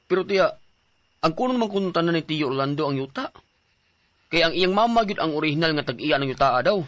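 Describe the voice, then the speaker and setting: A man's voice: a little high, animated, brisk, a bit bright, dry recording. A man playing a frustrated, worried young grandson in an audio drama.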